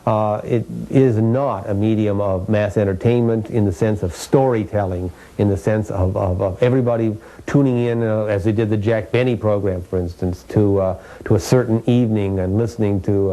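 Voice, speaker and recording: Mellow American man explaining haltingly. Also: fairly low pitch, steady tone, low-quality recording. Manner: halting, searching for words, explanatory. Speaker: radio historian, interviewee. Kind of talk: explanatory interview commentary.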